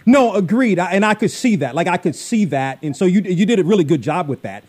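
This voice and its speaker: A man's voice: high-pitched, expressive, a little fast, a little mellow. A man hosting a radio interview show, emphatic and enthusiastic as he asks his questions. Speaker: radio host.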